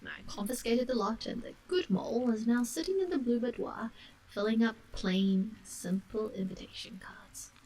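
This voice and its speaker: A woman's voice: a little high, wildly swooping pitch, moderate pace, darkish tone, slightly rough, recorded from a distance, heavily acted, poor audio. A woman voicing a firm but kind-hearted male water rat, earnest and reasoning, in a storybook. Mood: regretful, earnest.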